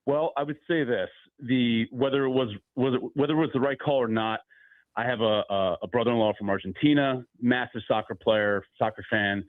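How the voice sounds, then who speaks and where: A man's voice: medium pitch, a little fast, no echo, phone-quality sound. A male caller heard over a thin, phone-band line with a dry sound. He talks in a casual, rambling way, full of ums and restarts, as he builds his point. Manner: conversational, many fillers, steady argument.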